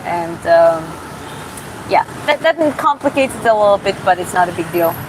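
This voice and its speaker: A woman from Georgia who streams and boxes, reading viewer comments aloud in a live Q&A and answering casually, often pausing and restarting to find her words. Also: lowish voice. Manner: casual, halting, searches for words with many restarts. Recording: steady background hum.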